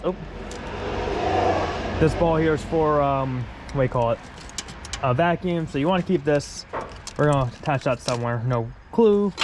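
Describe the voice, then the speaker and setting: Congested guy talking through a car teardown, chill and rambly, faint shop noise behind. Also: a little high. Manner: rambling, informal, self-correcting as he works. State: congested after being sick. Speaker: vlogger working on his race car. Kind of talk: casual how-to narration.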